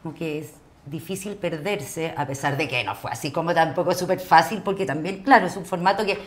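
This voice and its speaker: A woman with a Chilean accent chatting as an interview guest, building her thoughts haltingly in fragments. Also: sits low. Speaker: actress, podcast guest. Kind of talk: casual conversational interview.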